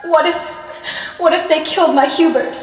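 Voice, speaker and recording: A woman playing a terrified, fragile wife in an old-time radio thriller, speaking anxiously and haltingly with dramatic dread. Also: extremely high pitch, steady tone, music in the background. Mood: rising panic. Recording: echoey hall, distant mic, background noise.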